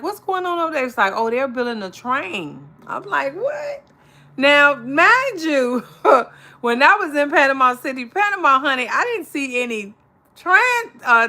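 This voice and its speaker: A woman from Chicago with an American accent talks casually to her viewers. Her voice is bright and forward, and she tells stories in a chatty, informal way full of slang. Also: mid-range voice. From Chicago, United States. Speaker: vlogger chatting with live viewers. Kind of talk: casual chat.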